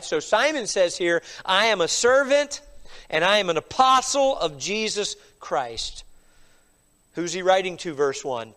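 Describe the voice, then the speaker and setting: Middle-aged pastor, high voice, stuffy with a cold, emphatic sermon delivery. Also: male voice, fairly bright tone. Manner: emphatic preaching with frequent "all right?" asides.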